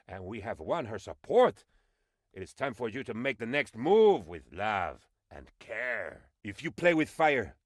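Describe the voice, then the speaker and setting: Video game advisor: fawning, melodramatic right-hand man of an island leader, lively swooping delivery, dry close sound. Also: medium pitch, no echo. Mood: earnest, advising. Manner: theatrical, flattering, melodramatic. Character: excitable, fawning male presidential advisor on tropical island. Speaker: video game character voice actor. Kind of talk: animated video game voice lines.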